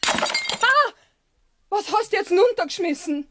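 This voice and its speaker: An actress playing a bossy, reproachful housewife in a radio play, brisk and exasperated, with a Bavarian accent. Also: female voice, extremely high pitch, animated, bright, forceful tone, no echo, studio quality, exaggerated voice. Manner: scolding, brisk. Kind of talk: radio play dialogue acting.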